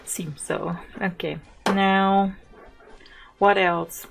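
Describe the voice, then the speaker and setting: A German woman talks slowly and softly over her gameplay, her throat a little sore. She muses aloud with fillers and small laughs, and faint keyboard clicks sit under her voice. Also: medium pitch, flat delivery, creaky, a little hiss or hum. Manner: soft-spoken, thinks aloud with many fillers and little laughs. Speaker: gaming channel host. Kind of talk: casual gameplay commentary.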